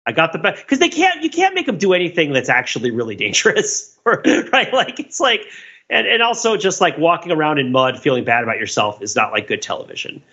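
A man hosting a podcast, chatting casually about TV in an animated, riffing way. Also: fairly high pitch, fairly quick, husky, highs cut off. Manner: animated, riffing, acts out hypothetical quotes. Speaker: podcast host. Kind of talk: casual TV commentary chat.

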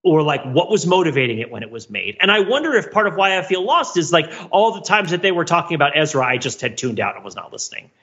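A man's voice: a little high, big pitch swings, quick pace, few pauses, pushed and bright, dull audio. A man co-hosting a casual pop-culture discussion, analytical and rambling, often interrupting himself. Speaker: podcast co-host.

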